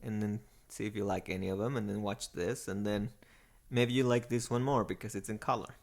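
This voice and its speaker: Gravelly man with a Mexican accent. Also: fairly low pitch, fairly even intonation. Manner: self-deprecating, halting and searching for words. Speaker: podcast co-host. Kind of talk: casual chat.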